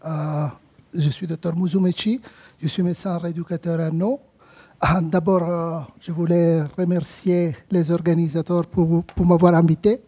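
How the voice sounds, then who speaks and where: A man's voice: on the high side, level tone, moderate pace, mellow, slightly rough. A man with an Italian accent, a rehabilitation physician presenting a clinical case at a conference, speaking hesitantly with many fillers and restarts.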